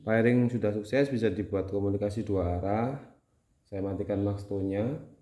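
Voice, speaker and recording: A man's voice: lowish voice, steady tone, moderate pace, warm tone. A man with a Javanese Indonesian accent, giving a casual how-to demonstration as a tutorial host. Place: indoors at home, small room.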